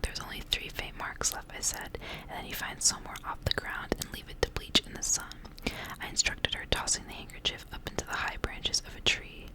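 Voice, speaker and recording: A woman doing ASMR, reading a story aloud in a soft, breathy whisper. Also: poor audio.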